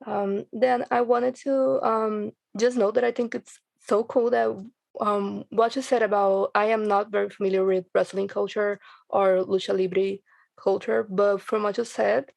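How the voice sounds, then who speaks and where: A woman's voice: mid-range voice, little pitch movement, unhurried, gravelly, darkish tone, dry recording. A woman from Brazil, speaking reflectively and hesitantly in a casual panel chat. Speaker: panel guest, audio drama creator.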